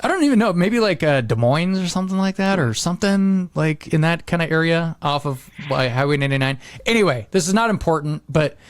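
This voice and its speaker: Man, slightly high voice, casual chat, halting rambling delivery, dry sound. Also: animated, clean tone, no room sound. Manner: rambling, halting, full of hedges and repeats. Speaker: podcast co-host.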